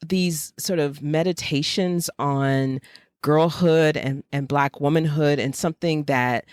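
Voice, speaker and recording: An American woman with a low voice co-hosts a literary podcast in a casual, conversational style. The sound is dry, with no room echo. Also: steady tone, slow, a little mellow, clean tone. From Jacksonville, Florida, USA. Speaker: podcast co-host.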